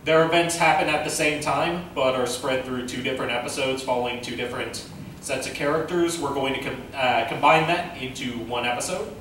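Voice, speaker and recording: A man hosting an informal panel Q and A, speaking in a hesitant, halting way. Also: medium pitch, fairly bright tone. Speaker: panel host, web series creator. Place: convention panel room. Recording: very echoey room, distant mic, noise.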